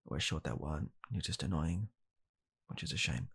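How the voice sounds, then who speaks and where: A young British man in a hushed, halting, deadpan comedic ASMR roleplay, playing a bitter, weary father coldly blaming his child. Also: rumbling low, gravelly, husky. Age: young adult. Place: small home room, indoors.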